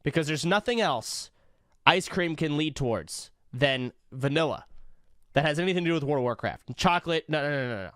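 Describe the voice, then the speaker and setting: A man's voice: on the high side, animated, brisk, fairly bright tone, no echo, clean studio sound. A man livestreaming casual reaction commentary, rambling along in slangy, sweary language.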